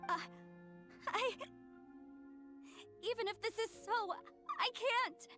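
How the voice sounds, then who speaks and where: Piercing, high-pitched defiant young believer. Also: female voice, sharp tone, soft background music, heavily acted. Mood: distraught, defiant. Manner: emphatic, halting, defiant. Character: proud young woman, devout believer in denial. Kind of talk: English anime-style game voice acting.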